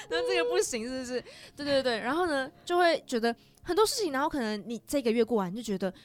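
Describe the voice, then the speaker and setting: A woman with a Taiwanese accent hosting a casual chat, telling things in an animated way and acting out little remarks. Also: sits high, fast talker. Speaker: podcast host.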